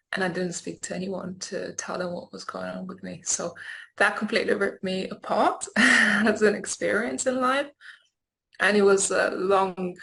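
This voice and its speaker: A woman's voice: medium pitch, gravelly, fairly bright tone, poor audio. A woman from Lithuania speaking as an interview guest, telling her personal story in a candid, reflective way.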